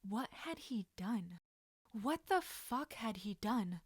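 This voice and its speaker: A woman reading a story aloud as an expressive audiobook narrator. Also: medium pitch, slow pace, husky, no echo, clean studio sound. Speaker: audiobook narrator of fan fiction.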